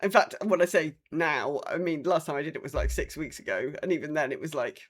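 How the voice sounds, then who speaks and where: A woman's voice: low voice, a little fast. A woman co-hosting a podcast, chatting casually about gaming in a blunt, sweary way. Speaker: podcast co-host. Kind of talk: casual chat about an online game.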